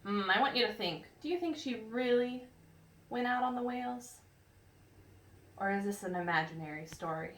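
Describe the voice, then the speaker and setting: Female children's storyteller, fairly high voice, expressive and instructive, recorded in an echoey room. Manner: expressive, teacherly, pausing to ask and explain. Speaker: read-aloud storyteller for children. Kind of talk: picture book read-aloud with questions to young listeners. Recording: roomy, distant mic with faint noise.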